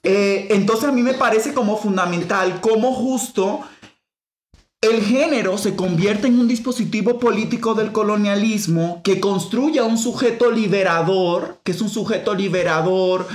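A woman from the Dominican Republic with a Dominican accent, hosting a reflective discussion. She thinks out loud, restarts often and leans hard on key words. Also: mid-range voice, moderate pace, reverberant. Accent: Dominican Spanish. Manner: thinks aloud with frequent restarts and emphatic stress. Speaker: podcast host.

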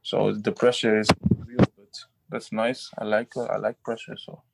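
Halting footballer man, flat voice. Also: lowish voice, gravelly, very noisy. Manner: halting, restarts often, simple phrasing. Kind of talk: interview answers.

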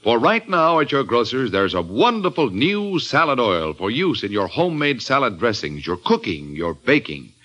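Booming 1950s radio announcer, man. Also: big pitch swings, normal speed, hardly any pauses, fairly bright tone, muffled recording. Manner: booming, emphatic sales delivery. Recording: old radio broadcast.

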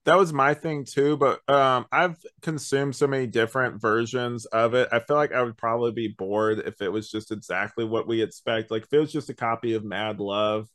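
A man co-hosting a podcast in casual chat, explaining things in a rambling, halting way with many false starts. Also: medium pitch, fairly even intonation, fairly bright tone. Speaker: podcast co-host reviewing an audio drama.

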